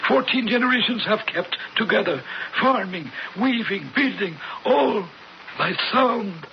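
An actor playing a grave old leader of cave dwellers in a radio drama, giving halting, patient, solemn instruction. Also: male voice, sits high, animated, gravelly, exaggerated voice. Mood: grave, guiding. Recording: muffled old radio broadcast, faint noise.

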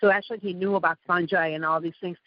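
A woman's voice: fairly low pitch, fairly even intonation, fast, dry recording. A woman from Iran with an Iranian accent, a guest telling her story in a rambling way, with a slight lisp from missing front teeth. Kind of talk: casual interview talk. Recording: dull lo-fi call audio.

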